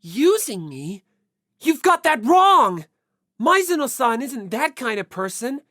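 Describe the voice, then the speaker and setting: A woman's voice: a little high, sing-song, slow, fairly bright tone, dry recording, clean studio sound, pitched above the performer's own voice, full character voice. A woman voicing a modest, kindly teenage schoolboy in an audiobook, sincere and easily flustered. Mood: startled, earnest.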